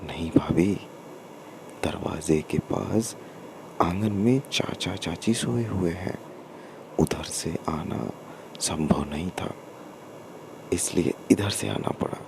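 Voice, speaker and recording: Deep, coaxing young lover, read by a male storyteller. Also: slow, creaky, pauses often, background noise, full character voice. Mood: hushed, coaxing. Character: eager young male lover, secretive and pleading.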